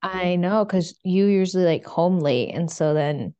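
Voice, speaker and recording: A woman's voice: fairly low pitch, measured pace, warm tone, clean tone, lo-fi sound. A young woman co-hosting a podcast in a casual, playful chat, with a slightly runny nose. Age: young adult. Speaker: podcast co-host.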